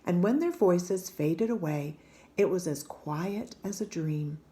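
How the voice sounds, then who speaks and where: A woman reading a children's picture book aloud in a gentle, hushed and expressive storytelling manner. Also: on the low side, slow. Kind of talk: gentle scripted read-aloud narration.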